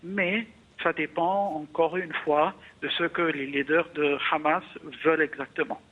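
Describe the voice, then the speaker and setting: A man from Egypt comments as a guest analyst in a news interview. His voice sits fairly high for a man, and he speaks haltingly, repeating small words as he builds his points. The sound is narrow and phone-like. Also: steady tone.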